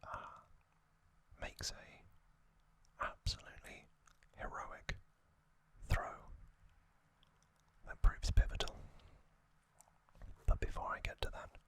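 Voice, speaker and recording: A man speaks in a breathy, crisp whisper, like an ASMR host telling a story. His delivery is very slow and deliberate, broken into short phrases with space between them. The sound is bright and airy rather than voiced.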